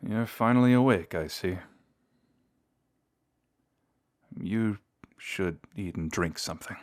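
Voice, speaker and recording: Man playing a low-voiced, theatrical vampire lord. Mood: soft, tender. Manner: theatrical, deliberate, taunting yet intimate. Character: seductive, menacing vampire lord, proud and secretly tender toward lost love. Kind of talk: scripted audio roleplay addressed to the listener.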